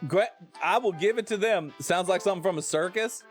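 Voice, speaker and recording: Higher-voiced American man, playful game streamer. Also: brisk, soft background music. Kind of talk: casual joking live gameplay commentary, reading game text aloud.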